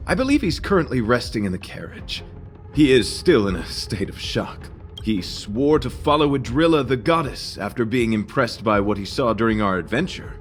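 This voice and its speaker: Man as a grandiloquent knight, expressive delivery. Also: medium pitch, lightly acted. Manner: formal, grandiloquent. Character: noble, earnest, courteous knight devoted to beauty. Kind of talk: video game voice acting.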